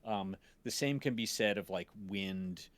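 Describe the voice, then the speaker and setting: A man talking as a teacher on a livestream, explaining informally in a halting, digressive way. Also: on the low side. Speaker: sound design teacher.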